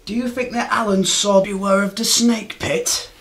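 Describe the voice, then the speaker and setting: A man's voice: sits high, steady tone, normal speed, a bit bright. A man playing a fretful, bumbling outlaw in an amateur radio play. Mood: worried. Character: bumbling outlaw of Robin's band, adult man.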